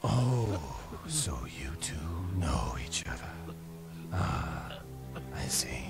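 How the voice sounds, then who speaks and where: An actor playing a cruel, imperious ancient vampire overlord in a game cutscene, drawling with condescending, theatrical menace. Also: male voice, low-pitched, sing-song, very slow, vocal fry, heavily acted. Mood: sinister, mocking. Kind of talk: video game cutscene acting. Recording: loud music under the voice.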